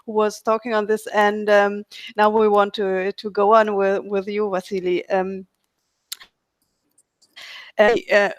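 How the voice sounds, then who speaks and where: Slow, halting woman host. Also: medium pitch, flat delivery, no room sound. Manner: slow, halting, many fillers. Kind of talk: video call interview. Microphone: video call.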